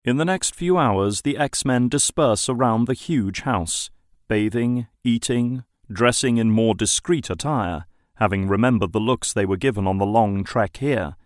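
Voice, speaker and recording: A man's voice: medium pitch, a little slow, no echo, studio quality. A man reading scripted narration for an audio drama.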